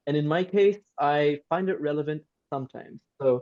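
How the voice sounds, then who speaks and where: A man's voice: fairly high pitch, brisk, a little mellow. A young man from Cyprus, a native Turkish speaker, moderating a panel podcast in an earnest, searching way with many fillers and restarts. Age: young adult. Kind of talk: casual panel discussion. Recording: slightly dull lo-fi audio.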